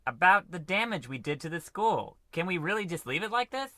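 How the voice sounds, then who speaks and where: A man's voice: high voice, sing-song, fairly quick, bright, forceful tone, dry recording, full character voice. A man playing a timid, earnest spaceship crewman in a comic radio play, hesitant, stammering and deferential. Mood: worried, protesting. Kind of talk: comic old-time radio play acting.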